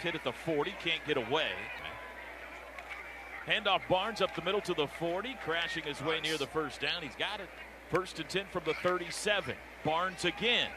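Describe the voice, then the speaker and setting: An American man calling live football play-by-play on the radio in clipped, urgent phrases. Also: on the high side. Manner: clipped, urgent running description. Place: outdoor football stadium. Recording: stadium radio broadcast, clearly noisy background. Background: steady stadium noise.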